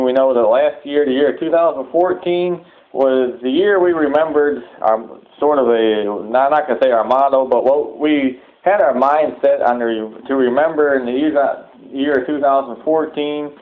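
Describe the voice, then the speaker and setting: A man delivering a sermon-like public address in halting, deliberate phrases, repeating words for emphasis. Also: mid-range voice. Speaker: preacher giving a public address. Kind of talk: sermon-like extremist political address. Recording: muffled, thin phone-like audio.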